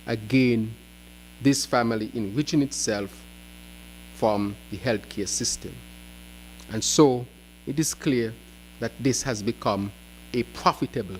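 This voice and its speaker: A man giving a prepared press conference speech as an opposition spokesman, in deliberate, emphatic short phrases. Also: mid-range voice, a little hiss or hum, rough recording.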